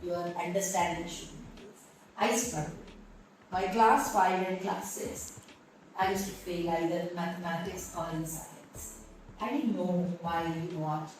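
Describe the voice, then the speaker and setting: A woman's voice: lowish voice, steady tone, measured pace, distant mic, a little hiss or hum. A woman school principal with an Indian English accent, candidly recounting her school years in an interview. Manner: reflective, candid storytelling. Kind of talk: interview, personal story. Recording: echoey room, voice from the mic.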